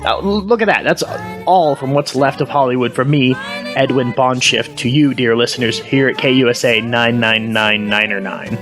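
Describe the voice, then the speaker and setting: A man's voice: medium pitch, normal speed, lightly acted. A man puts on a showy entertainment correspondent for a mock radio broadcast. He hams up his report over a music bed. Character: hammy male Hollywood radio correspondent.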